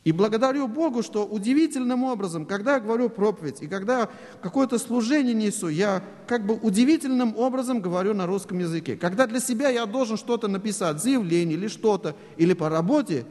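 A man's voice: high voice, expressive, fast, darkish tone. A man preaching a sermon in Russian, which is not his first language. He explains at length, poses rhetorical questions, and uses many fillers. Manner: speaks Russian as a second language. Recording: echoey hall.

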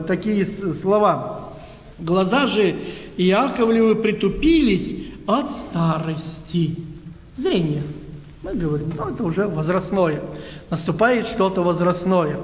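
A man preaching a sermon in a halting, unhurried way, often asking his listeners rhetorical questions. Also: high-pitched. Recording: echoey hall, dull lo-fi sound.

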